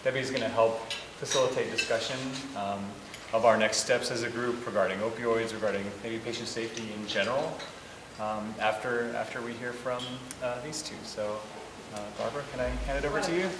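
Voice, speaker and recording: A man's voice: mid-range voice, steady tone, fairly quick. An American man hosting a meeting, introducing the next speakers in a plain way with fillers. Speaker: meeting host. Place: hotel conference room. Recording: echoey room with background noise.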